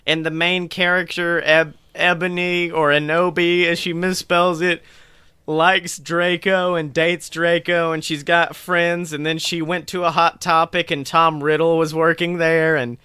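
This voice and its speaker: Bright-voiced man bantering on a podcast. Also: a little high, unhurried, clear, resonant tone, no echo. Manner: profane, jokey, animated reactions. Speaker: podcast co-host. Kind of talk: casual banter and mocking fan fiction read-aloud.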